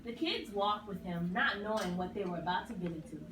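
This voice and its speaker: Female storyteller for a kids' puppet show: lively, fairly high voice, captured off-mic with noise behind. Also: a little fast. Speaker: narrator. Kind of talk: scripted children's story narration. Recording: roomy, distant mic, clear noise.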